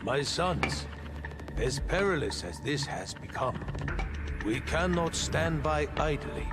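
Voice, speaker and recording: A calm, fatherly old rat sensei in a video game. He speaks slowly and solemnly, his pitch rising and falling with feeling. Loud music plays beneath him. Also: heavily acted.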